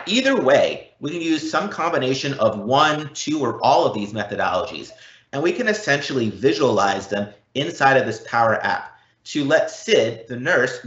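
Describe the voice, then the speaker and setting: A man giving an explanatory software demo as a product presenter, in a didactic way with emphatic repetition. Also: mid-range voice. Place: indoor room, recorded live online event. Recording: muffled, roomy live-event recording.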